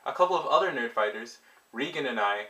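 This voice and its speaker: A man's voice: medium pitch, animated, fast talker, pushed and bright, rough recording. A man narrating a vlog and telling stories to his viewers. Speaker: vlogger.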